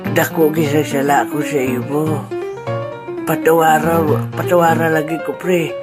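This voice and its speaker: A man acting in a comic audio drama, dropping from low mutters into high laughing outbursts. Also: level tone, measured pace. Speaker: amateur radio drama actor. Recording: loud music underneath.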